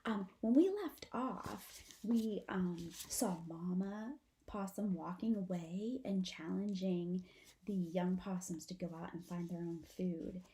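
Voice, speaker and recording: A woman's voice: medium pitch, mellow. A woman, a teacher, narrates a children's story aloud for her students.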